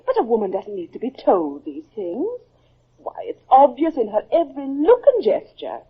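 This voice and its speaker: An actress playing a devoted, fretful captain's wife with a gentle, fussing manner in an old radio drama. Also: female voice, on the high side, wildly swooping pitch, normal speed, husky, exaggerated voice. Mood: gossipy, musing. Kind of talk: 1950s radio drama acting. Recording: dull old radio recording.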